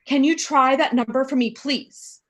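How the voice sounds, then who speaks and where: A woman's voice: high-pitched, fairly even intonation, normal speed, fairly bright tone. A woman playing a nervous, frail, imperious housewife in a radio play, fretful and dramatic. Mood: fretful, impatient.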